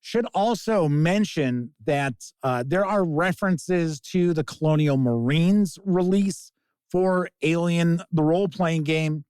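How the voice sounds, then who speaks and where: Man, casual game reviewer, very measured pace, opinionated conversational delivery, dry studio sound. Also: on the high side, a little mellow, clear, resonant tone, dry recording. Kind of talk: casual spoken product review.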